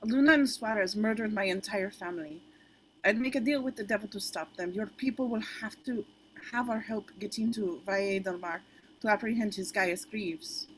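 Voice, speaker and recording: A woman's voice: mid-range voice, moderate pace, a bit bright, a little hiss or hum, lightly acted, poor audio. A woman playing a stern, proud leader of freedom fighters in a script read-through. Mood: grim resolve.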